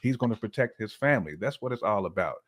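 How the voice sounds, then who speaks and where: A man's voice: fairly low pitch, animated, quick pace, fairly bright tone. A man giving his opinions in casual conversation as a podcast guest, earnest and emphatic with informal phrasing.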